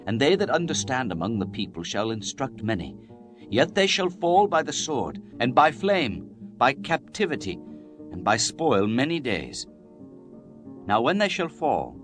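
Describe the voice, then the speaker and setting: A man reads scripture as a dramatic narrator, solemn and declamatory. His mid-pitched voice rises and falls widely, with soft plucked-string music playing behind him. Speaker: Bible narrator.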